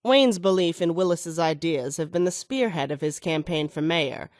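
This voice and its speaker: A woman's voice: lowish voice, animated, normal speed, darkish tone, no room sound, studio quality, close to an everyday voice. An actress playing an ambitious, scheming female mayoral candidate in a video game, speaking with self-assured, oratorical delivery. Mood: brisk, disdainful.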